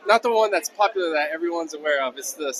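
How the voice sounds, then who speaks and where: A man at a convention giving clear, opinionated answers in a casual interview. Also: fairly high pitch, animated, fast talker, fairly bright tone, a little hiss or hum, low-quality recording. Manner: opinionated, articulate. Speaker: convention attendee being interviewed. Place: busy convention hall.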